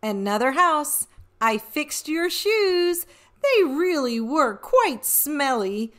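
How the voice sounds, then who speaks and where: Storybook leprechaun played by a woman: boastful, cheeky male sprite, unhurried rhymes, swooping pitch. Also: very animated, fairly bright tone, full character voice. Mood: gleeful boasting. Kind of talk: rhyming storybook read-aloud.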